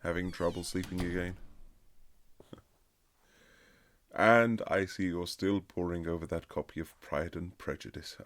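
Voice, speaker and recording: A man playing a reserved aristocratic husband in an intimate romantic period audio drama, speaking formally and haltingly with earnest tenderness in a gravelly, husky voice. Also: deep voice, steady tone, a bit bright. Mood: gently teasing. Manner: formal, old-fashioned, halting, earnest phrasing.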